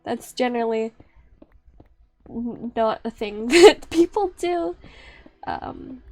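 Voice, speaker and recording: A woman's voice: lively intonation, slow, vocal fry, darkish tone, no echo. A young woman streamer chatting casually on a live stream, rambling and restarting her sentences, often breaking into laughter. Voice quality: high-pitched.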